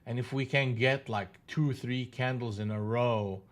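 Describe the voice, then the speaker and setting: A man's voice: medium pitch, steady tone, unhurried. Man giving live trading commentary. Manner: casual, repeats himself, restarts phrases; mild swearing. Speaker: day trader hosting a live trading room.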